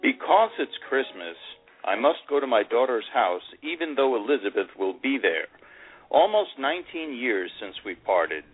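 A man's voice: mid-range voice, very animated, normal speed, faint music behind, lightly acted. An actor playing an older divorced man who reads his memoir in the first person, lovelorn and full of regret. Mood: wistful, loving. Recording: tinny phone-like radio sound.

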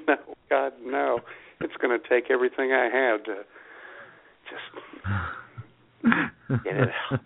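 A man's voice: mid-range voice, steady tone, unhurried, creaky, long pauses, a little hiss or hum. A man co-hosting a podcast, making wry, self-mocking jokes in casual banter. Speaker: podcast co-host. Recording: tinny phone-like sound.

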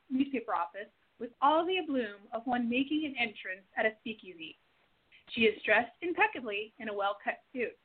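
A woman's voice: on the high side, husky. Woman narrating a radio play. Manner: reading stage directions aloud. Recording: tinny phone-like recording.

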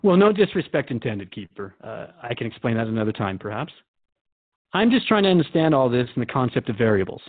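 A radio host interviewer in an audio drama. He is earnest and respectful, feeling his way through questions with a conversational hesitancy. His mid-pitched voice sits in a dry, lo-fi recording with muted highs. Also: big pitch swings, fast, no echo. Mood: puzzled, probing.

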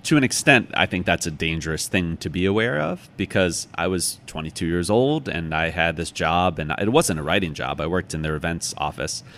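An American man talking casually as an interview guest, telling stories in an animated, reflective way and correcting himself as he goes. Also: low-pitched, a little fast, few pauses. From United States, from Chicago. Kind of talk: casual conversational storytelling.

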